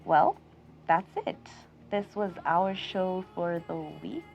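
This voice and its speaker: A Kenyan woman hosts a fiction podcast in a slightly low voice. She talks expressively, leaning hard on key words and stretching them out. Soft music plays underneath her. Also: highs cut off. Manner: expressive, emphatic, lingers on words. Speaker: podcast host. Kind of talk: warm outro chat.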